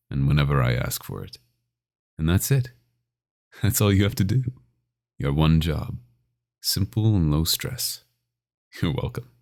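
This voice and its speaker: A man playing a possessive, domineering vampire doctor in a scripted ASMR roleplay, speaking in an intimate, condescending and menacing way. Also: a little mellow. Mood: smug, coaxing. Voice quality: very deep, gravelly, often breathy.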